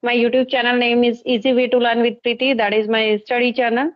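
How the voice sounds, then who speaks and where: A woman with an Indian accent hosts a relaxed practice conversation, speaking in a slightly high voice. She pauses often on "uh" as she searches for words. The recording sounds dull and lo-fi. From Haryana, northern India. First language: Hindi. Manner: hesitant, many uh fillers. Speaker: teacher and channel host.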